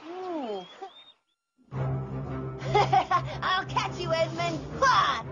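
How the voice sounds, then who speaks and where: A woman's voice: very high voice, wildly swooping pitch, very slow, exaggerated voice. A woman voicing a young boy in a cartoon, pious, playful and affectionate. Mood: delighted, playful. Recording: loud music behind the voice.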